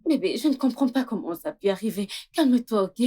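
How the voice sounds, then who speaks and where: A woman's voice: mid-range voice, expressive, quick pace, dark tone, a little hiss or hum, lightly acted. A woman playing an anxious young wife who coaxes and soothes, in dubbed film dialogue. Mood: soothing, coaxing. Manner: coaxing, pleading.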